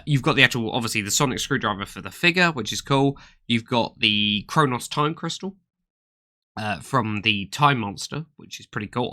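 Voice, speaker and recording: A man's voice: on the low side, bright, forceful tone. A man with a slight cold and a nasal voice, hosting a casual toy collecting news chat with eager, rambling talk and many fillers. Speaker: toy collecting channel host.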